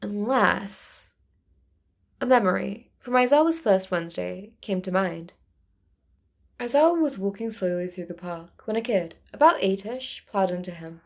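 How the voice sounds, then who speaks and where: A woman's voice: medium pitch, expressive, a little slow, vocal fry. A woman reading fiction aloud as narrator in a scripted storytelling style. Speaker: narrator, fan fiction reader.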